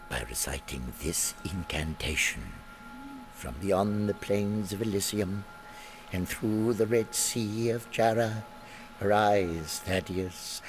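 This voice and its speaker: An actor playing a grave, learned explorer of ancient lore in a video game, speaking in a measured, solemn storytelling manner. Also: male voice, low-pitched. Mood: grave, instructive. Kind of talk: video game character acting. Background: music.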